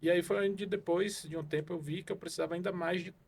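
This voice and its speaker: A man's voice: high-pitched, fairly even intonation, quick pace, creaky, a little hiss or hum. A man from Salvador, a podcast guest telling his career story in a rambling way, full of fillers and tag questions. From Salvador, Bahia, Brazil. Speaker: guest, car evaluation consultant. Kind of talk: casual podcast interview.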